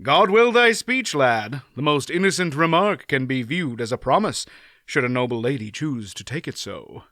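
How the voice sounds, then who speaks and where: A man's voice: mid-range voice, expressive, moderate pace, pushed and bright, no echo, studio quality. A man doing a courtly old-fashioned knight. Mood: earnest. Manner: old-fashioned formal speech. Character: boastful chivalrous male knight, formal, archaic.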